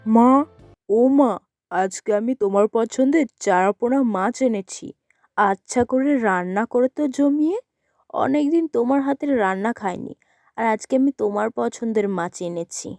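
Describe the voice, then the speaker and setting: A woman voicing a grown son who speaks warmly and eagerly to his mother. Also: on the high side, expressive, moderate pace, no echo, clean studio sound, faint music behind. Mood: affectionate. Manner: affectionate, eager. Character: cheerful adult son bringing fish home.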